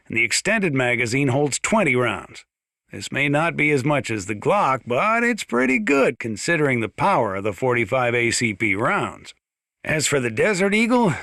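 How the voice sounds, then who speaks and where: An actor voicing a folksy, gun-loving sheriff in a video game, chatty and opinionated, as if hosting a radio monologue. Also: male voice, medium pitch, bright, forceful tone, dry recording, studio quality. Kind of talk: scripted radio monologue, folksy instructional commentary.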